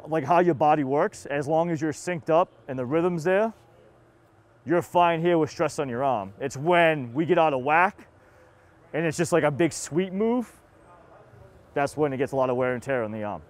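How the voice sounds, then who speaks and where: A man's voice: on the high side, moderate pace. A man with a Massachusetts accent coaching baseball catching drills in casual, encouraging, slangy bursts. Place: outdoor batting cage and bullpen.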